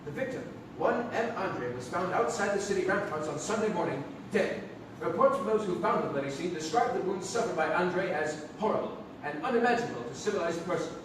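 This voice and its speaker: Formal male 1800s chronicler played by an actor, fast-talking, theatrical reading, in a very echoey, noisy theatre. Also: high voice, lively intonation, far from the mic. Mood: grave. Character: formal nineteenth-century male chronicler of grim events. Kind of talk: theatrical reading of old documents.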